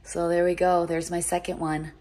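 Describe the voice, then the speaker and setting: A woman's voice: on the low side, little pitch movement, normal speed, warm tone. A woman in her fifties chatting to her phone camera in a home kitchen, gushing over decorations in a casual vlog. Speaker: vlogger. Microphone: phone held at arm's length.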